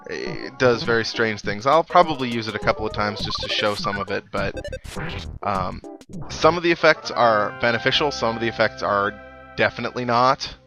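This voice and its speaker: A man's voice: on the low side, expressive, normal speed. Young man rambling over game music. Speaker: video game let's player. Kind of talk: casual gameplay commentary. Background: video game music.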